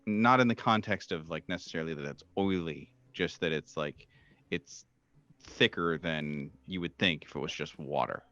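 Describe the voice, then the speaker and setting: A man with a low voice runs a tabletop horror game as game master. He narrates haltingly, pausing as he improvises descriptions. The sound is dry and slightly dull and lo-fi. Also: pushed and bright, no echo, soft background music. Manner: halting, with many pauses.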